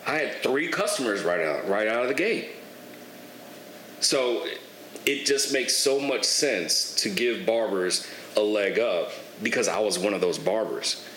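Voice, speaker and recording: A young man in his twenties, guesting on a podcast, tells his story in a relaxed, candid way and swears casually. His voice sits fairly low. The room is echoey, with a faint hiss underneath. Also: measured pace. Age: 20s. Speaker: guest, barber and clothing entrepreneur.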